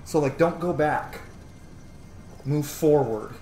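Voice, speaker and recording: A man's voice: fairly high pitch, takes it slowly, warm tone. A man streaming a video game, giving casual, rambling, opinionated let's-play commentary in a conversational way. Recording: echoey room with noise behind.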